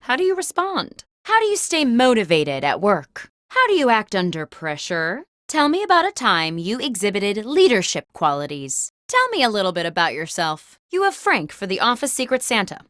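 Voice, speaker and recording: A woman playing a video game host who poses workplace questions like an office interviewer, in scripted, clearly enunciated prompts. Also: medium pitch, huge pitch swings, moderate pace, hardly any pauses, fairly bright tone, no room sound, studio quality. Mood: composed, quizzical.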